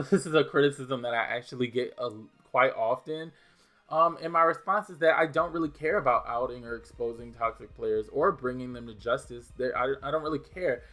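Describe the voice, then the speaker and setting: Young guy, bit of a new orleans accent, blunt casual q&a talk, lots of ums and restarts, mid pitch, faint music under it. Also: fairly bright tone. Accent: mild New Orleans. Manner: candid, blunt, frequent restarts and fillers. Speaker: gaming video creator. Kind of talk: casual Q&A chat.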